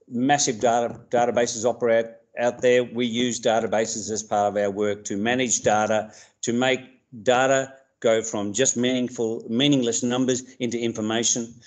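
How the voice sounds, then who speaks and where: A man's voice: medium pitch, darkish tone. Hesitant Australian man teacher. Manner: halting, many ums and uhs. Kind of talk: recorded subject talk to students.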